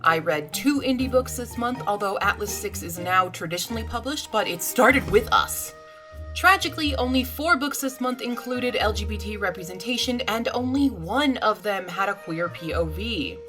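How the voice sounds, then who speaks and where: A woman's voice: medium pitch, lively intonation, moderate pace. A woman hosting a video, reading a scripted recap as a voice-over. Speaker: video host. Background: music.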